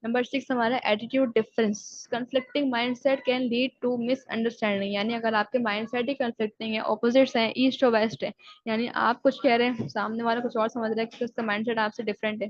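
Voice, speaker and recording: Woman lecturer, slightly high, flat delivery, dry and dull-sounding recording. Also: no echo. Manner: explains in Urdu-Hindi, slowly reads English definitions aloud, then paraphrases. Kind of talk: recorded exam-prep lecture.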